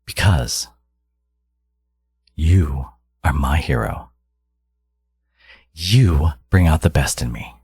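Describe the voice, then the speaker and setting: A smug supervillain genius, acted out by a man, speaks extremely low and slow in an intimate audio roleplay. His delivery is a theatrical, teasing drawl with wide swings in pitch. He taunts his hero with possessive, doting arrogance. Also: lively intonation, a little mellow, husky, dry recording, exaggerated voice. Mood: possessive, boastful.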